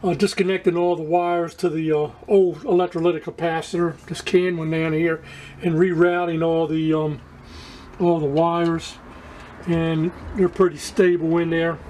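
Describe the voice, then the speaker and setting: A man talking casually through a hands-on repair as a hobbyist restorer, halting, plainspoken and folksy, in an unscripted amateur video. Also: fairly high pitch, little pitch movement, darkish tone. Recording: clearly noisy amateur recording.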